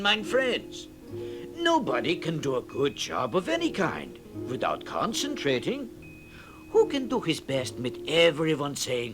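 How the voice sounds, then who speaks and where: A man's voice: sits high, voice pushed up, exaggerated voice. A man voicing a bearded old helper on a children's storybook record, thoughtful and earnest in a folksy, exclamatory way. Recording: vintage record with music behind.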